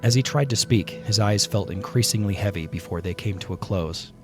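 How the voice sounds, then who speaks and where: A man narrating a scripted fantasy audio play with dramatic, deliberate storytelling. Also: lowish voice. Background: prominent music.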